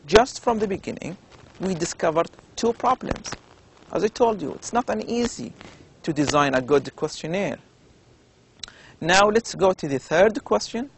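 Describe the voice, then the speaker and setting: A man with an Arabic accent giving a recorded university lecture, explaining slowly with many pauses and fillers. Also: on the high side, a little hiss or hum. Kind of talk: recorded lecture over slides.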